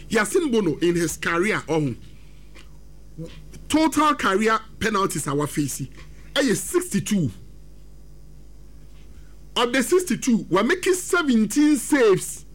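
A man with a Ghanaian accent hosting a football talk segment, animated and switching between English and Twi. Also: high-pitched. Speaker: sports radio host.

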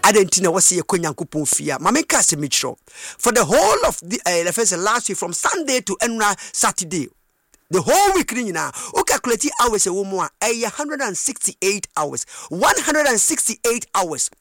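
A very high-pitched man with a Ghanaian accent delivers an impassioned sermon with a bright, forceful edge. His pitch moves in lively swings as he repeats phrases, throws out rhetorical questions and breaks into shouts or chant. He is a native Twi speaker and preaches with heavy emphasis. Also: moderate pace, no room sound, studio quality.

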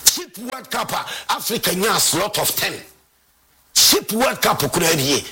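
A Ghanaian man rants with a heated, scornful edge, circling back to the same words again and again. His voice sits high and sounds bright and sharp. Underneath it is very husky and raspy. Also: sing-song, a little slow. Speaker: football fan commentator. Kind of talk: angry rant.

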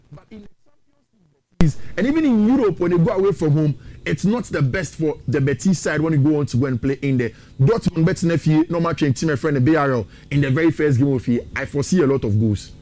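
A man's voice: on the high side, warm tone, low-quality recording. A man with a Ghanaian accent giving opinionated, conversational sports preview commentary as a football pundit, slipping into local-language phrases.

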